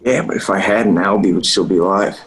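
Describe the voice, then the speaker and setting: An actor playing an earnest, guilt-ridden young leader in a table read over a video call. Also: male voice, low voice, a little fast, poor audio. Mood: grave, guilty. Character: earnest, guilt-ridden young man leading trapped boys. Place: remote video call reading.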